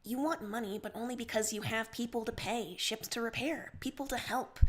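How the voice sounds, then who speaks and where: Woman reader as a reluctant storybook rebel troll, higher voice, earnest but firm and principled. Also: normal speed, lightly acted. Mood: firm refusal.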